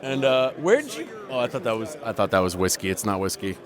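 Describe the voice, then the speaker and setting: A man interviewing casually at a trade show, speaking in informal, slangy, halting phrases. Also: fairly low pitch, very animated. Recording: busy crowded convention hall noise. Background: loud crowd chatter.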